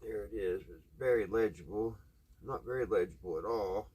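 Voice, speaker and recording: Guy, folksy, just thinking out loud while he tinkers at the bench. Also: lowish voice, moderate pace, husky, poor audio. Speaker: hobbyist radio repairer, video host. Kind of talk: casual unscripted hands-on repair vlog. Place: indoors at a workbench.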